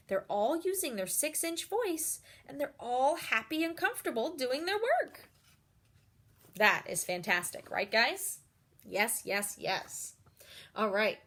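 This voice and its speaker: A woman reading a children's picture book aloud like a teacher, expressive and encouraging, putting questions to her young listeners. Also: high voice. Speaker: read-aloud storyteller and teacher.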